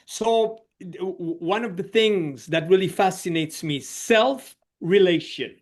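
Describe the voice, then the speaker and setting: A man's voice: high-pitched, takes it slowly. A middle-aged man from Switzerland, a social coach guest, telling his story in a reflective way with many "you know"s. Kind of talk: conversational podcast interview.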